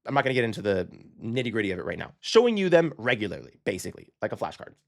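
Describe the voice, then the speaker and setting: A man hosting a language-learning lesson, talking casually and explaining his method with frequent "okay?" check-ins and quick self-corrections. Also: fairly low pitch, very animated, gravelly. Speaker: language-learning channel host.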